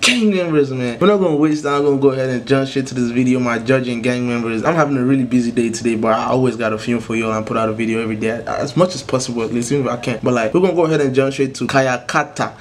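A man's voice: mid-range voice, fairly even intonation, very fast, hardly any pauses. A young man in his twenties hosting a casual reaction video, talking in laid-back, profane slang and repeating himself for emphasis. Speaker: music reaction video host. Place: small room at home.

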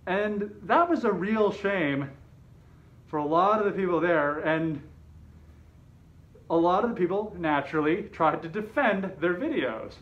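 A man telling a personal story in a casual, rambling way, hesitant and often correcting himself. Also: sits high. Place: open living room with kitchen at home. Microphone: camera mic a short way off, speaker inside a fursuit head. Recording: dull, slightly muffled home camera audio.

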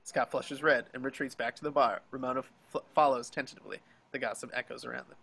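A man's voice: medium pitch, steady tone, fast, vocal fry, bright, forceful tone, husky. A man reading stage directions as scripted narration for a dramatic table read over a video call.

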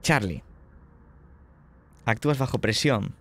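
A man's voice: mid-range voice, slow, fairly bright tone. A man narrating a tabletop horror game as game master, describing scenes vividly and dramatically.

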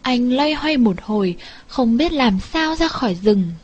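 A woman narrating a scripted fairy tale as an expressive storyteller. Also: a little high, unhurried, slightly rough, muffled recording.

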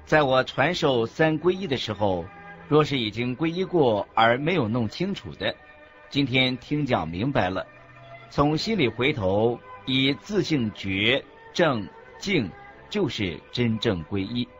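Buddhist master, earnest, didactic radio drama delivery, expressive intonation, muffled audio. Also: male voice, mid-range voice. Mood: earnest, instructive. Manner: didactic, measured. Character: earnest male Buddhist master teaching the faith. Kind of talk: scripted radio drama dialogue, expository. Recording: dull lo-fi audio with background music. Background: music.

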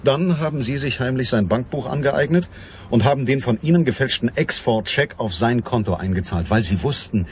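A man playing a blunt, unyielding private detective in a crime radio play, with a dry, deliberate delivery. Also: mid-range voice, expressive, slight background noise, natural voice. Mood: stern, accusing. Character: hard-boiled, stocky male private detective, blunt and unyielding.

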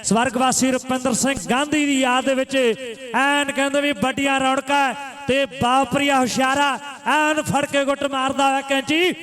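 A man's voice: extremely high pitch, a bit bright. A man giving live kabaddi commentary and announcements, repeating phrases for emphasis and rousing the spectators. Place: live village kabaddi tournament ground.

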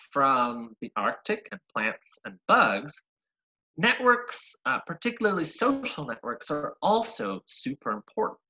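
A young man from Argentina giving an online science seminar over a video call, lively and full of ums and uhs, often restarting words. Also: fairly high pitch, low-quality recording. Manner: lively, frequent ums and uhs, self-correcting. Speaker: graduate researcher giving a seminar. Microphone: webcam on a video call.

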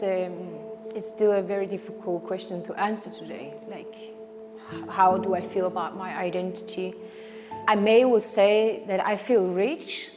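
Halting Danish-accented young woman, slight fry. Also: medium pitch. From Denmark. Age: young adult. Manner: halting, searching for words. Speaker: circus artist. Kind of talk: reflective personal interview. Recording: reverberant with background music.

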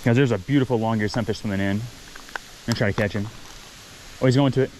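Excited man narrating from a creek. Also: lowish voice, fast talker, noisy background. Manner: enthusiastic, chatty, laughs often. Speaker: fishing channel host. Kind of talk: excited outdoor vlog commentary. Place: outdoors at a rocky creek pool by a waterfall. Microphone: head-mounted camera mic.

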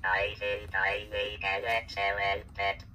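A synthesized male voice speaking as a small, polite, earnest robot pet in a stilted monotone. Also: fairly low pitch, takes it slowly, heavily acted. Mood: flat, polite. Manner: stilted, flat machine delivery. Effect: vintage robot speech synthesizer. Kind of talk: vintage speech-synthesizer robot voice. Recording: distant, noisy workshop recording.

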